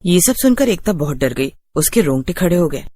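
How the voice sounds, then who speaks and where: A woman's voice: on the low side, sing-song, a little fast, warm tone, dry recording, studio quality. A woman reading scripted horror story narration as a storyteller.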